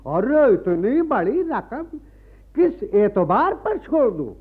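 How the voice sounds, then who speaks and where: An actor playing a sly, merciless priest moneylender in a radio play, unctuous and falsely friendly, forever adding pious phrases. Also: male voice, very high voice, exaggerated voice. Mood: smugly insistent. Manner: unctuous, repeats a pious catchphrase. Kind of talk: radio play acting in rustic Hindi. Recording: muffled radio archive recording with music.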